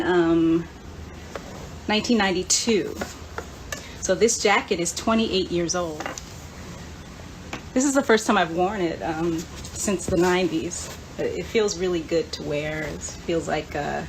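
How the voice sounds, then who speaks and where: A woman's voice: mid-range voice, rough recording. A woman hosting a casual livestream chat in an enthusiastic, chatty way. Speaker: host. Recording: clear background room noise.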